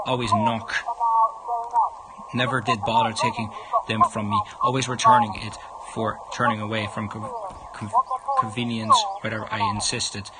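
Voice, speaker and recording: A man with a mid-pitched voice reads a visual novel aloud on a livestream. His delivery is halting, with stumbles over the words. Loud noise runs behind him. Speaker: game livestreamer.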